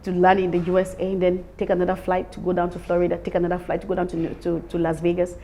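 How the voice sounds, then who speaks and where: A woman's voice: fairly low pitch, completely flat delivery, quick pace, warm tone, a little hiss or hum. A woman from Cameroon with a Cameroonian accent, speaking emphatically as an interviewed event organiser and repeating words for stress. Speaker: summit organiser being interviewed. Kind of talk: promotional interview talk.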